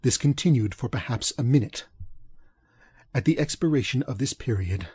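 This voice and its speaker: Guy reading an old horror audiobook, raspy mid voice, formal and deliberate, real dry sound. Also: mid-range voice, a little mellow, no room sound. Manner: measured, formal, deliberate phrasing. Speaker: audiobook narrator. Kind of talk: scripted narration of gothic horror fiction.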